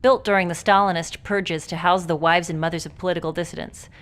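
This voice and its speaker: Actress as a dry bookish woman agent, lowish pitch, wry. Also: steady tone, fast talker, natural voice.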